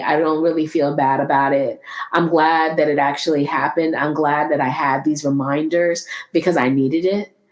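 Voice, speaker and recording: A woman's voice: low voice, a little mellow, echoey room. A woman hosting her own podcast, talking alone in an earnest, encouraging way, and sounding nasal from congestion. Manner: earnest, encouraging, repeats herself. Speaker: podcast host. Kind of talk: solo motivational podcast talk.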